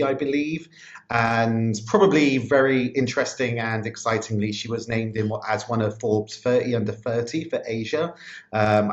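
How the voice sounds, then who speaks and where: A man hosting an interview podcast, chatty and casual, often hedging and using fillers. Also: medium pitch. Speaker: podcast host. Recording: echoey dull-sounding room.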